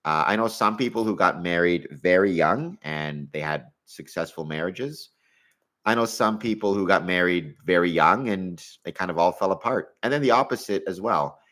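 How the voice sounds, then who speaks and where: A man's voice: sits low, moderate pace, a bit bright. A man in his forties hosting a live stream, chatting casually as he answers questions and thinking aloud with hedging asides. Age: 40s.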